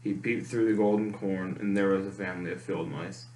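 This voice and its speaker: Low-voiced man reading a children's storybook. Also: steady tone, brisk, mellow. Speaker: storytime reader. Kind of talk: children's picture book read aloud. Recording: echoey room with clear background noise.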